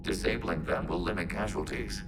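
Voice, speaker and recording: Deep, emotionless synthetic machine played by a man. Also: moderate pace, very noisy, lightly acted. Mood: flat, logical. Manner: clipped, precise, emotionless statements. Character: calm, formal synthetic machine intelligence, logical and literal. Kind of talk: video game acting.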